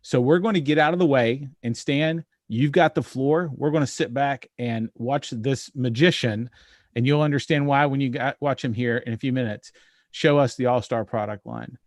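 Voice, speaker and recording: A man's voice: medium pitch, moderate pace, dry recording. A male host on a video call, recorded dry and close with no room sound. He keeps things easygoing and moves the conversation along. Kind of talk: hosting a video call show.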